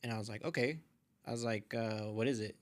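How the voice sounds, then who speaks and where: A man's voice: mid-range voice, brisk. A man chatting informally as a podcast guest, telling personal stories in a slangy, meandering way. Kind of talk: casual personal storytelling.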